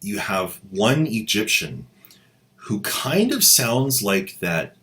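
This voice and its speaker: A man riffs through irreverent, mocking commentary. His voice sits low and his delivery is slow and deliberate. His pitch rises and falls expressively to land his jokes. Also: sing-song, fairly bright tone. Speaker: channel host and commentator. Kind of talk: sarcastic commentary.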